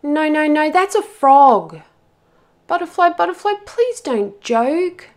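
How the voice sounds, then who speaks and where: A woman voicing a lost little monkey child in a storybook, pleading and exasperated, with emphatic, drawn-out words. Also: sits high, very animated, pitched above the performer's own voice, full character voice.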